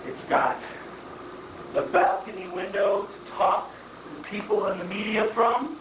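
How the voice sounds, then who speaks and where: Someone acting a slow, blustering political aide. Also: mid-range voice, natural voice. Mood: boastful. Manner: deliberate, emphatic, halting lists. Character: blustering, cynical political aide running the show. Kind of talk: dramatic play reading before audience. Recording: echoey, distant, noisy 1980s live recording.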